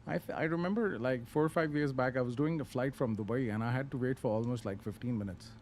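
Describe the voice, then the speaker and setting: A man casually talking through a live flight simulator session, relaxed and unhurried, thinking aloud with pauses. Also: mid-range voice, a little hiss or hum. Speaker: flight sim streamer. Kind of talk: casual live-stream commentary.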